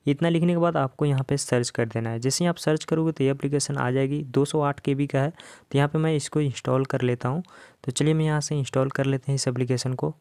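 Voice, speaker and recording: Casting: male tech tutorial host, Indian accent, casual instructional delivery, mid-pitched voice, dry recording. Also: fairly even intonation, fast talker, darkish tone, no room sound. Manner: addresses viewers directly, instructional. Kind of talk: casual step-by-step phone tutorial narration.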